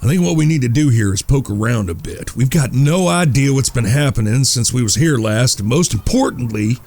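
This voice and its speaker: Man playing gravelly, sardonic cowboy gang leader. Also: mid-range voice, lively intonation, brisk, a little mellow. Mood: firm, exasperated. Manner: dry, bossy, sarcastic. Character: exasperated, sardonic leader of cowboy outlaw brothers. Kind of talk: Western audio drama acting.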